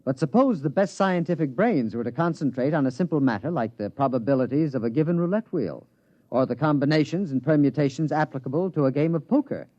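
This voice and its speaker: A man's voice: a little high, lively intonation, quick pace, warm tone. An actor playing a polite, precise male scientist who hesitates but stays determined, in a 1950s radio drama. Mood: earnest. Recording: muffled vintage radio recording.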